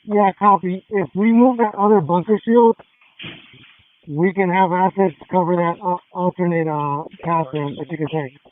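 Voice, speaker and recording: High-voiced man on tinny police radio. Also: steady tone, normal speed, a little hiss or hum. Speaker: police SWAT officer. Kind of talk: tactical police radio traffic.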